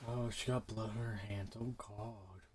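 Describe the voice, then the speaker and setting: A man's voice: lowish voice, fairly even intonation, unhurried, slight background noise. A man giving casual gameplay commentary in a slangy, offhand way, often in muttered asides. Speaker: gamer recording a playthrough. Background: loud video game music.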